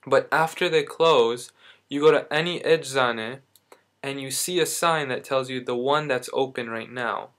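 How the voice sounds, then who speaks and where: A man's voice: medium pitch, a little slow, fairly bright tone. A young man talking casually in a video diary, rambling and correcting himself, speaking while in back pain. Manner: rambling, self-correcting, lots of fillers. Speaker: vlogger.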